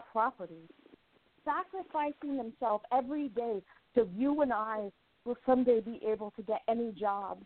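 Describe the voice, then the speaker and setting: A woman's voice: mid-range voice, lightly acted. A woman playing an earnest young 1920s gossip columnist in a radio play, speaking with theatrical sincerity. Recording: thin phone-like sound.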